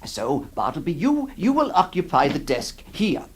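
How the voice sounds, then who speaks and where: A man's voice: a little high, wildly swooping pitch, normal speed, a little hiss or hum. A man playing a flustered middle-aged lawyer who narrates and stammers, in an old radio drama. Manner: hesitant, stammering, fussy. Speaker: narrator and lead actor.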